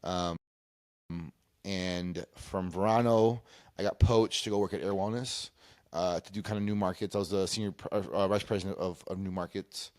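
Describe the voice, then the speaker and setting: A man from Peru speaking as an interview guest, casually recounting his career in a halting way, often circling back to rephrase. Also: low-pitched, fairly even intonation, darkish tone, no room sound. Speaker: interview guest, cannabis industry consultant.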